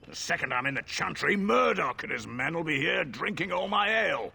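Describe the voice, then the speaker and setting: Casting: rough, husky innkeeper for a fantasy game, brusque and cynical, very animated delivery. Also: male voice, on the high side, a little fast, exaggerated voice. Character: gruff, cynical, self-serving tavern keeper. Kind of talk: fantasy video game voice acting.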